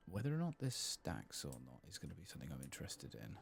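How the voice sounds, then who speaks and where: A man hosting a gaming video in a soft-spoken ASMR style, sometimes whispering, murmuring his thoughts unhurriedly as he plays. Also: extremely low pitch, huge pitch swings, creaky, slight background noise. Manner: murmured, unhurried musing to himself.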